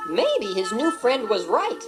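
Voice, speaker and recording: A woman's voice: fairly high pitch, huge pitch swings, slow. A woman giving scripted read-along narration, telling the story expressively and voicing every character. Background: background music.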